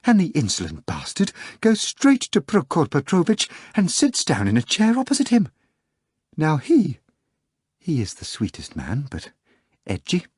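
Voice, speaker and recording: A woman's voice: very animated, slow pace, long pauses, darkish tone, slightly rough, no room sound, studio quality, heavily acted. A man voicing a hysterical young female secretary who wails through her tears in a slightly nasal, breathless way. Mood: agitated. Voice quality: a little nasal from crying. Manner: shrill, frantic, breathless wailing. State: crying. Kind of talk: audiobook character voice.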